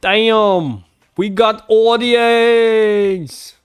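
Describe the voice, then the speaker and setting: A man's voice: high-pitched, drawn-out words, clear, resonant tone, no room sound, clean studio sound, faint music behind. A man doing a live reaction read-along, with crude, jokey asides and plenty of swearing. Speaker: reaction video host reading comics aloud. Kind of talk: live reaction and read-along, acting out dialogue between comments.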